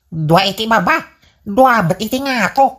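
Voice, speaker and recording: A man's voice: sits high, expressive, unhurried, a bit bright, heavily acted. A man playing an amorous, easily flustered old father in an Ilocano comedy radio drama, with an Ilocano accent and a whining, exclaiming delivery. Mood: smitten, bewildered.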